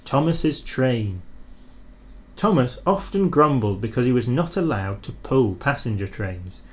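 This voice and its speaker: A man's voice: mid-range voice, a little slow. Man reading a children's story aloud. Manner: expressive, animated storybook narration.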